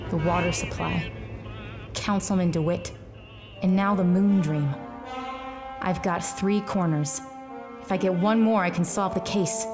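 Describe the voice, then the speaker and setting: A woman's voice: medium pitch, close to an everyday voice. Actress doing a wry tough lady private eye, noir radio drama, clipped and defiant, music under it. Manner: sardonic, clipped tough-talking delivery. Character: hard-boiled, hard-drinking female private eye, wry and defiant. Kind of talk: noir radio drama acting, monologue and dialogue. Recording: music bed behind voice.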